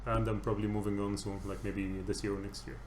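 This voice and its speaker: A man's voice: lowish voice, flat delivery, fast, darkish tone, slight background noise. A man around thirty, originally from Egypt, chatting casually as a podcast guest, laughing often and trailing off mid-sentence. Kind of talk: casual chat. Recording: echoey room with faint ambience.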